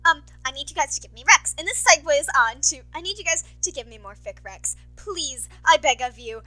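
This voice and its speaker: A woman's voice: high voice, animated, fairly quick, sharp tone, low-quality recording. A young adult woman chatting casually to her listeners in a scattered, effusive, apologetic way, like an informal update from a podfic reader.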